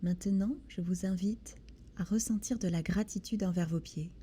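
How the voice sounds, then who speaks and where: A woman leads a guided body scan meditation in a soothing, gentle manner, with deliberate pauses between short phrases. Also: mid-range voice, slight background noise. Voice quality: soft, breathy. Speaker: guided relaxation instructor.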